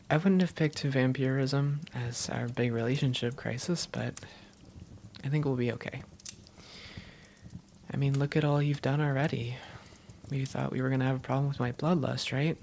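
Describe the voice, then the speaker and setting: A woman's voice: very deep voice, fairly even intonation, measured pace, vocal fry, long pauses, a little mellow, slight background noise, heavily acted. A woman playing an affectionate, teasing young vampire girlfriend in an intimate roleplay audio, playful and tender with her partner. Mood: tender, soothing.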